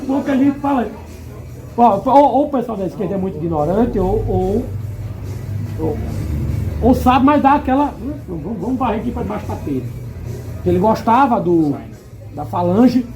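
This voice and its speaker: A man's voice: sits high, poor audio. A man talking at a panel in a loose, rambling way with many restarts and asides. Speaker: panel guest. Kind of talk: casual discussion. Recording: music behind.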